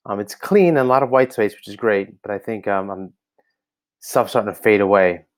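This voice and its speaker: A man's voice: lowish voice, moderate pace. An American man giving casual spoken feedback on a website, hesitant and full of ums and restarts, recorded on a laptop camera indoors. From United States. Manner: hesitant, many ums and restarts, thinking aloud. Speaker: website reviewer for coaches. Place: indoor room, seen on camera.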